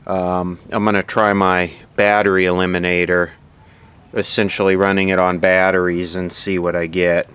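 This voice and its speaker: A man narrating a casual, unscripted hands-on repair demonstration in a matter-of-fact, halting way, as host of his own video. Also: sits low, flat delivery, a bit bright. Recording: clearly audible background noise.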